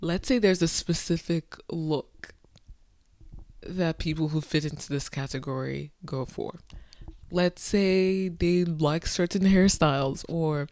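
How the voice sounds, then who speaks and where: A woman talking to her camera late at night, incredulous and exasperated, swinging between soft muttering and sudden loud outbursts. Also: sits low, slow pace, no room sound, clean studio sound. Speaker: vlogger. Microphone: phone or camera close up.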